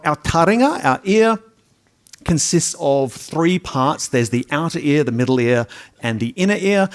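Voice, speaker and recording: Jokey middle-aged New lecturer. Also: male voice, a little high. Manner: chatty and jokey, with audience asides and restarts. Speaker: hearing science lecturer. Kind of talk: public science talk to a live audience.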